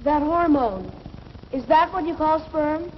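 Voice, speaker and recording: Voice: sits high, lively intonation, measured pace. A young performer playing a curious, naive teenage schoolboy who asks plain questions in an educational film. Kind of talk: scripted educational film dialogue. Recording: dull old film soundtrack, faint noise.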